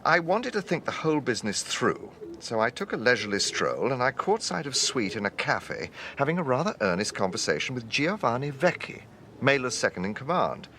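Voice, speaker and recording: An actor playing an urbane gentleman police detective in a radio drama, with a British accent and a courteous, probing, dryly amused manner. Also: medium pitch, very animated, brisk, slight background noise. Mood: reflective.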